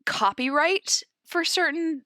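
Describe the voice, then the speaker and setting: A woman's voice: sits high, animated, takes it slowly, pushed and bright, no echo, clean studio sound. A woman co-hosting a podcast, casually explaining from notes in a giggly, conversational way with loose asides. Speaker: podcast co-host. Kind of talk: casual informal explainer chat, reading from notes.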